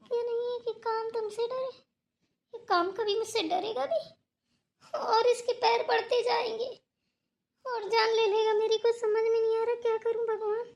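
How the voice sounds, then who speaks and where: Woman voicing a very squeaky, whining young daughter-in-law. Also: level tone, moderate pace, creaky, a little mellow, muffled recording. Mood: self-pitying. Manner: complaining, melodramatic, rustic turns of phrase. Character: whining, work-shy young daughter-in-law in a family cartoon. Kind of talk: cartoon family drama dialogue.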